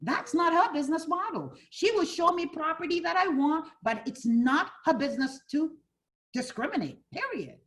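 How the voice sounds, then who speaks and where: A woman's voice: high voice, animated, normal speed. A woman from Ghana teaching a fair housing class for realtors, role-playing buyers and agents as she talks. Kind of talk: interactive training class.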